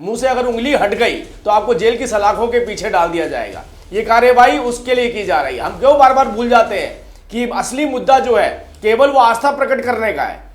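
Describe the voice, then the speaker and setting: Male political panelist, high voice, emphatic and repetitive, roomy echoing sound. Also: expressive, a little fast. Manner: emphatic, repetitive, rhetorical questioning. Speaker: debate panelist. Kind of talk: heated political debate argument.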